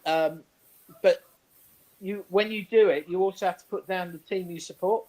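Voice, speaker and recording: High-voiced man in casual sports banter. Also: steady tone, normal speed, a little mellow, poor audio. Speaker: sports show panellist.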